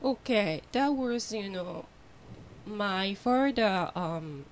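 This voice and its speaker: A woman from Japan speaks English with a Japanese accent into a studio mic. Her pitch sits in a middle range, and she talks haltingly, searching for words with plenty of fillers. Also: animated, a little hiss or hum. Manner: halting, with many fillers and non-native phrasing. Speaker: guest. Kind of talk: radio interview. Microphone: studio microphone.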